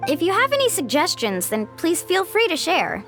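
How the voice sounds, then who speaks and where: Young woman guide, high bright voice, lively intonation, encouraging, soft game music. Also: normal speed, heavily acted. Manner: friendly, encouraging. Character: upbeat young woman guide, leading the group. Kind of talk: video game voice acting.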